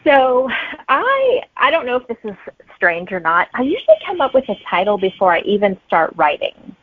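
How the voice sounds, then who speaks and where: A woman's voice: on the high side, huge pitch swings, moderate pace, no room sound. A woman guest chatting casually in an interview, telling anecdotes and acting out remembered remarks. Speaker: guest author. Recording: narrow, phone-like dry audio.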